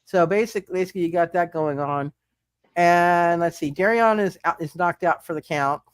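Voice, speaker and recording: A man's voice: high-pitched, normal speed, smooth, no room sound, clean studio sound. A man narrating a live tabletop superhero game as game master, stammering and often repeating his words.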